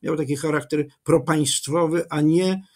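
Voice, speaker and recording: An older man, a history professor, giving long, measured answers with many fillers and self-corrections, speaking from a home study over a webcam video call. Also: a little high, steady tone, a little mellow. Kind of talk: lecture-style interview answer.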